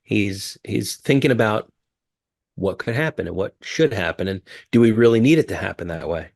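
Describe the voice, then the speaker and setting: Upbeat male podcast host, low voice. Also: normal speed, vocal fry, no room sound, clean studio sound. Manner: upbeat, energetic introductions. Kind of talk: casual podcast chat.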